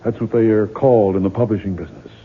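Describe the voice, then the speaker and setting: A man's voice: lowish voice, steady tone, fast talker, natural voice. A male actor playing a weary, bitter novelist, curt and insistent, in 1970s radio drama style. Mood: dry, dismissive. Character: weary, bitter male novelist haunted by his own creation. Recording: muffled, noisy 1970s radio broadcast recording.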